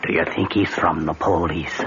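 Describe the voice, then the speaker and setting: A man's voice: deep voice, steady tone, moderate pace, muffled recording, over loud music, lightly acted. An actor playing a small, nervous, superstitious Irish henchman with a singsong delivery in an old-time radio drama. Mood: curious.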